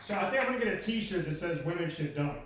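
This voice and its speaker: High-voiced male host in an echoey room. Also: lively intonation, fast talker, very noisy. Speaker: show host. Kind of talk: casual live on-stage interview. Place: pub stage, in front of an audience. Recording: echoey pub, distant mic, crowd chatter.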